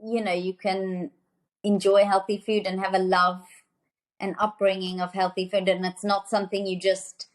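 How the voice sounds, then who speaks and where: A woman in her thirties from South Africa talks as a podcast guest about food and health. Her voice sits at an ordinary middle pitch. She is chatty and enthusiastic, with lots of fillers and quick restarts. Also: little pitch movement. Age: 30s. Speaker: guest, nutrition author. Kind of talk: casual interview conversation.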